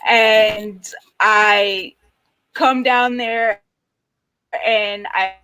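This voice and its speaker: Woman, New York accent, panel host; very slow, halting delivery with long pauses. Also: medium pitch, steady tone, pushed and bright, clean tone, rough recording. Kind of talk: casual panel chat.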